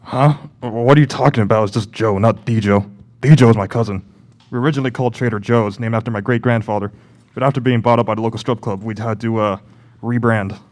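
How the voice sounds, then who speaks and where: A man's voice: mid-range voice, fairly even intonation, quick pace, darkish tone, natural voice. A young man acting an offhand grocery worker and student in an amateur radio play. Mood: offhand, puzzled. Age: young adult.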